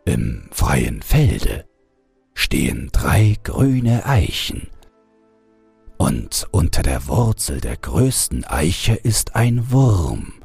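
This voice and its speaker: Man playing a breathy, gravelly deathless villain. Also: extremely low pitch, very animated, drawn-out words, darkish tone, dry recording, soft background music, exaggerated voice. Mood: mocking, secretive. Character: deathless old male villain, sly and sinister. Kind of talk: fairy-tale character voice.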